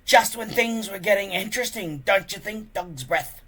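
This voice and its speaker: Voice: medium pitch, normal speed, fairly bright tone, voice pushed up, lightly acted. Someone reading a storybook aloud, voicing a smug Viking boy bully who sneers and boasts. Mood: sneering.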